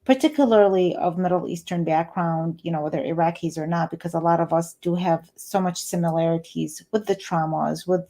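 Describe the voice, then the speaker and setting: Lady interviewer, lowish relaxed voice, feels her way through questions. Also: a little fast, few pauses. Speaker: interviewer, host.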